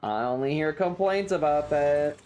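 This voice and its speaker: A woman livestreamer chatting casually over a video game in a blunt, sweary, deadpan manner, with game music behind her. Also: low-pitched, normal speed. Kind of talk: casual game streaming chat.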